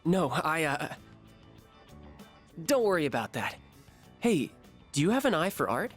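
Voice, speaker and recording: Earnest teen club leader, lively up-and-down delivery, music under. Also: male voice, a little high, a little slow. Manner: earnest, hesitant, then fussy and caring. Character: earnest teenage male art club leader, fussy.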